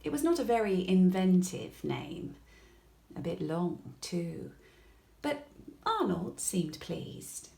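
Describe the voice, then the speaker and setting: A woman reads a bedtime story for young children, gently and expressively. Her pace is very unhurried, with careful pauses and lively movement in pitch. The room around her voice is noticeably echoey. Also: creaky. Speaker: storybook reader.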